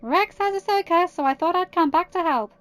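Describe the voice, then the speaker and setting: A woman voicing an eager, stubborn young male pilot and loyal son, calling out excitably in a story. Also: very high-pitched, a little fast, no echo, faint music behind, voice pushed up.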